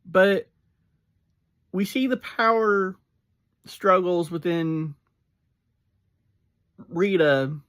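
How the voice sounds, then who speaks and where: Slow, easygoing fan reviewer. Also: medium pitch, clear, resonant tone. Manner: easygoing and chatty, with laughs and "um"s. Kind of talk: casual spoken review.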